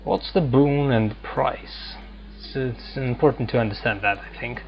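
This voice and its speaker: Male gaming host, casual and hesitant, slightly muffled lo-fi mic with soft game music behind. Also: mid-range voice, normal speed, gravelly. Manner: hesitant, thinking aloud. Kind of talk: casual let's-play commentary. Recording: dull lo-fi microphone, game music underneath.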